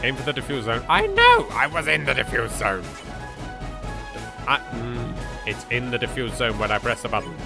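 A man's voice: mid-range voice, very animated, normal speed, gravelly. An English man giving dry, sarcastic commentary over a game he plays. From England. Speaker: let's play voice actor. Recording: game music behind.

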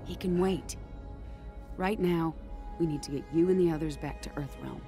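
A woman's voice: lowish voice, moderate pace, lightly acted. Woman playing tough female general, blunt, curt, hard-edged, game cutscene, music behind. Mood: businesslike. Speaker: military general character. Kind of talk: dramatic video game acting. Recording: loud music underneath.

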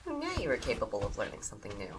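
A woman's voice: rumbling low, wildly swooping pitch, racing, exaggerated voice. A woman playing a bossy, caring young goth witch roommate in an audio drama, teasing fondly. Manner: bossy, mock-threatening, fond teasing.

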